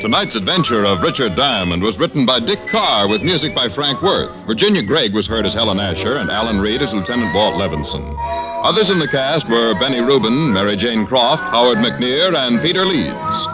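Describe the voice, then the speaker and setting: An American man announces in old-time radio style, with hugely varied intonation. His scripted delivery plays over loud music on a muffled vintage recording. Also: medium pitch, sing-song, moderate pace.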